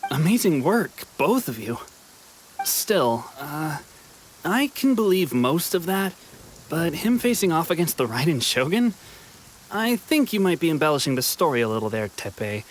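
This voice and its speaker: An actor playing a good-natured, sensible wounded soldier in a video game, gently teasing and mildly sceptical. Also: male voice, a little high, big pitch swings, normal speed, slight background noise, poor audio. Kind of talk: video game character dialogue.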